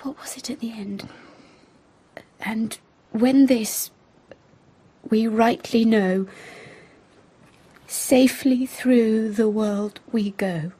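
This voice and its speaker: Sensitive young heroine, period radio play: slow, intense, pleading, heavily breathy. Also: female voice, on the high side, mellow, full character voice. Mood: hushed, wondering. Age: young adult. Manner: halting, intense. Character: sensitive, troubled young woman, pleading and poetic.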